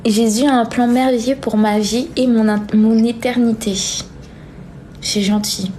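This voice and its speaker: A young woman with a soft-edged, mellow voice that sits a little high. She chats informally as a live-stream host, reading comments and answering them with hesitant, trailing phrases. There is faint noise behind her. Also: steady tone, a little slow, low-quality recording. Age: young adult. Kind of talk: casual chat, reading and answering viewer comments.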